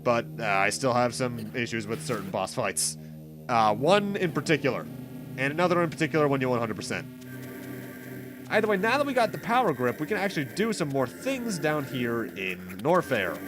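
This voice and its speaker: A man commentates casually over a video game he is playing. His mid-pitched voice moves up and down in an animated way as he rambles along with a slightly wry edge. Game music plays clearly behind him. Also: moderate pace. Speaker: gaming commentator, host. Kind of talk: casual let's play commentary.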